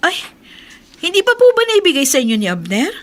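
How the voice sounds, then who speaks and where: Deferential, defensive female staffer, high-pitched, radio drama. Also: wildly swooping pitch, brisk, full character voice. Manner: polite, excuse-making. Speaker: actor. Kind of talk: Tagalog radio drama acting.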